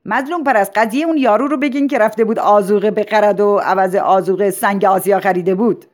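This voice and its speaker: A woman's voice: medium pitch, expressive, voice pushed up, close to an everyday voice. A woman voicing a pompous, officious male school principal hosting a ceremony in a formal, declamatory way for a story. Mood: insistent, loud.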